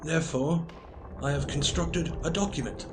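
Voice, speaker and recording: An actor playing an ancient, grave wizard leader in an audio drama, weary and proud, speaking in formal, ceremonious phrases. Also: male voice, a little high, normal speed, music in the background, natural voice. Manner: formal, ceremonious, stately speech. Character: ancient Merlin, grave male founder and commander, weary, proud.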